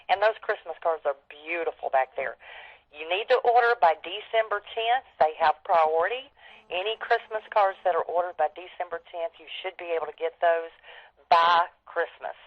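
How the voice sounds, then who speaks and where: A woman's voice: on the low side, normal speed, no room sound. Enthusiastic woman on a dull phone line. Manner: enthusiastic, emphatic. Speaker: network marketing leader giving updates. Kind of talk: informal sales team update. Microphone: telephone. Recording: dull, band-limited conference phone line.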